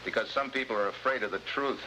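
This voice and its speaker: An actor playing a tough, wisecracking American special agent, terse and sardonic, in 1950s television adventure style. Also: male voice, mid-range voice, fast, lightly acted. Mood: terse, determined. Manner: hard-boiled, terse, sardonic. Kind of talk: early 1950s TV adventure acting. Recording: noisy old 1950s television soundtrack.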